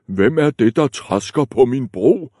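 A man voicing a menacing troll who guards a bridge, shouting his threats. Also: mid-range voice, animated, no room sound, clean studio sound. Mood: bellowing.

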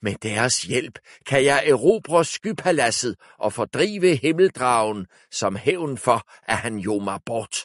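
A man's voice: mid-range voice, big pitch swings, few pauses, no echo, studio quality, pitched above the performer's own voice. A man voicing a scheming, power-hungry male sorcerer who gives orders with a snappish, commanding manner.

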